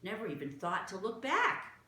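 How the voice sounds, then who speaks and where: Woman voicing a young girl, excitable, quick and high, bright tone. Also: a little hiss or hum. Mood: eager. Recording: roomy echo.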